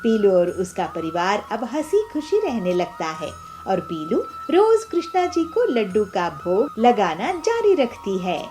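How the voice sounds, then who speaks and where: A woman's voice: mid-range voice, very animated, unhurried, music in the background. A woman narrating a scripted children's story.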